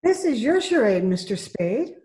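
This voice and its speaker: A woman's voice: a little high, sing-song, measured pace, warm tone. A woman playing a flirty, scheming party guest with sugary playfulness, in 1940s radio style. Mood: coy. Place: home, recorded over video call.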